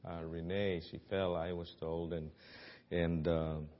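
A very low-voiced man with a Filipino accent preaches in a church, earnest and full of "uh"s and restarts. His voice carries a long hall reverb, and the recording sounds dull and muffled. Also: flat delivery, measured pace. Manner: earnest, with many fillers and restarts. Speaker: guest preacher. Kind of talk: sermon. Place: church sanctuary.

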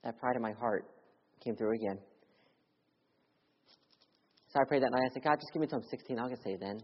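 A young man preaches with earnest urgency, his voice slightly husky as it swings from loud appeals to hushed, quiet lows. His words ring out with a long reverb through a church sanctuary. The recording itself sounds dull and muffled. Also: racing. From Philadelphia. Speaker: missionary preacher. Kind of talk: sermon with personal testimony.

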